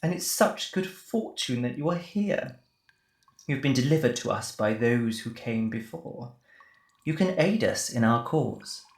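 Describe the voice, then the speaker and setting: A woman's voice: a bass voice, expressive, unhurried, a little mellow, full character voice. A woman playing a smug, menacing cult leader in an audio drama, patronising and theatrical. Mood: gloating, menacing. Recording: echoey, faint music behind.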